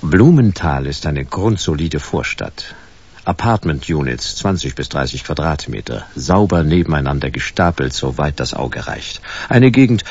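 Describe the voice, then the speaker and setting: A hard-boiled private detective in a slightly dull-sounding radio drama. He is laconic and sardonic, a wry, deadpan noir figure who does not let go. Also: deep voice, breathy edge, full character voice.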